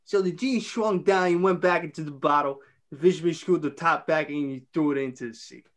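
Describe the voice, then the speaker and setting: Lower-voiced young woman telling a story. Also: fairly quick. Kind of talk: storytelling read-aloud of a screenplay.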